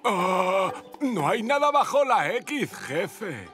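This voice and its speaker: A man's voice: sits high, big pitch swings, takes it slowly, a bit bright, faint music behind, exaggerated voice. A man voicing a dim, doubtful wasp henchman in a children's cartoon, hesitant and literal-minded. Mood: puzzled, timid. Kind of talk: dubbed children's cartoon acting.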